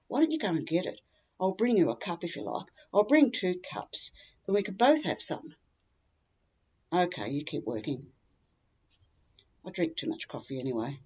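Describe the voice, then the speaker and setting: A woman's voice: mid-range voice, a little mellow, breathy edge. Woman playing a chatty, tactless older Australian woman, muffled recording. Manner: rambling, matter-of-fact, oblivious, opinionated. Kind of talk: humorous monologue reading.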